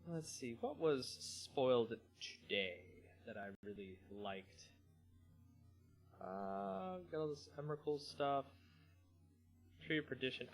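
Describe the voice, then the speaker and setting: A man hosting a live stream in a casual, slangy, rambling way, with halting pauses. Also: medium pitch, fairly bright tone. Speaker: host of a card game channel. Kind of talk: casual live stream chat, then dramatic story reading.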